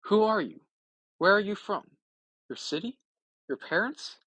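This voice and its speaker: A man's voice: high-pitched, animated, unhurried, fairly bright tone, slightly rough, no echo, voice pushed up, heavily acted. A man voicing a loyal old swineherd who grieves for his master, in an audiobook of an epic poem. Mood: gently curious.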